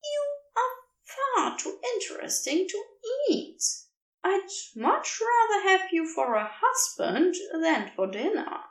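A woman's voice: very high voice, sing-song, slow pace, reverberant, far from the mic, pitched above the performer's own voice, exaggerated voice. A woman voicing a smug, playful dragon-like cherub who teases in a gleeful, theatrical, lilting way. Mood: soft fond.

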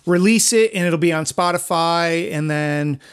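A man's voice: a little high, unhurried, smooth. A man hosting a podcast interview, asking questions in a casual, conversational style. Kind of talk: casual interview chat with profanity.

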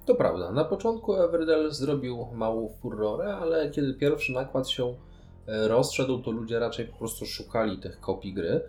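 A man's voice: medium pitch, lively intonation, moderate pace, darkish tone, soft background music. A man talking casually about board games as a podcast co-host. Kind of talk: casual board game discussion.

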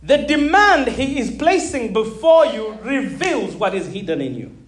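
Pastor, man in his thirties, from Zambia; emphatic sermon delivery, high for a man. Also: big pitch swings, measured pace. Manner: emphatic, calls out to the congregation; repeats words, laughs between points.

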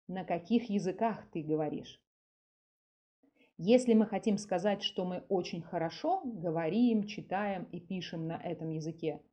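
A woman's voice: fairly low pitch, mellow. A woman hosting a language lesson podcast, speaking didactically in separated phrases with repeated examples. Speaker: podcast host, Russian language teacher. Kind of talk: scripted language lesson for learners.

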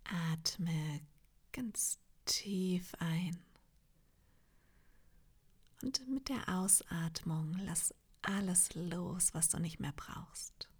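A woman leading a guided meditation in a soft, half-whispered, soothing voice, leaving long pauses between phrases. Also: fairly low pitch, breathy edge. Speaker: yoga nidra meditation guide.

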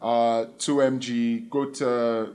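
A man's voice: medium pitch, little pitch movement, takes it slowly, darkish tone. A man with a mid-range voice chats casually as a podcast co-host. He speaks hesitantly, often feeling his way toward the right word. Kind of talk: casual conversational chat.

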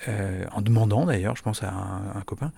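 A man's voice: lowish voice, normal speed, vocal fry, dark tone, slightly rough. A man telling a personal recollection in a casual, hesitant way, often restarting and repeating words. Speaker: interviewee.

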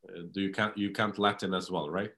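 A man's voice: low voice, flat delivery, brisk, sharp tone. A man hosting an interview, asking friendly, guiding questions and summarizing the answers. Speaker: interview host.

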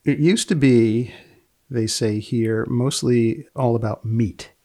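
A man hosting a research podcast, putting questions in a deliberate, deadpan way with a husky, gravelly voice. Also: medium pitch. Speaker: podcast host and magazine editor. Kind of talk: podcast interview about research papers.

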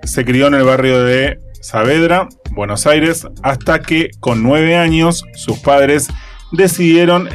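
A man with a Rioplatense Spanish accent on a radio panel who reads quotes aloud and gives blunt opinions. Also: medium pitch. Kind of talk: casual radio chat, reading quotes aloud.

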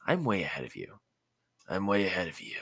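A man's voice: deep voice, huge pitch swings, fairly quick, creaky, fairly bright tone, slightly rough, no echo, clean studio sound, heavily acted. A man voicing a lazy, sleepy adolescent ninja boy in a storybook, offhand in manner.

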